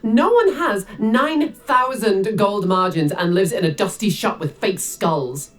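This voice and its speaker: A woman's voice: medium pitch, lively intonation, unhurried, soft background music, close to an everyday voice. A woman voicing a quarrelsome, scornful adventurer in a video game, outraged and indignant. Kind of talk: video game character acting.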